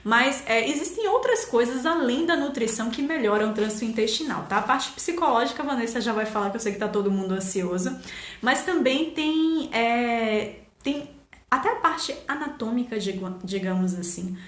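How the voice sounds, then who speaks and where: Bahian woman explaining, bright echoey voice. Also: on the high side, expressive, normal speed. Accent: Bahian Portuguese. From Salvador, Brazil. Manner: explanatory, hesitant with fillers, laughs easily. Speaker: nutritionist host. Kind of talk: casual live-stream chat.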